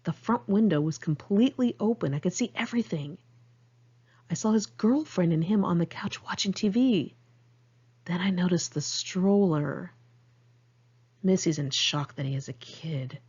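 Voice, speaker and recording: A woman's voice: medium pitch, a little mellow, husky, highs cut off. An American woman reading her own diary-style memoir aloud in a candid, conversational way. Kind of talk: audiobook narration of diary entries.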